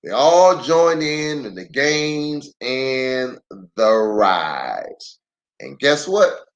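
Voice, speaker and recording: A man reading a children's story aloud in an expressive storytelling style. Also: medium pitch, drawn-out words.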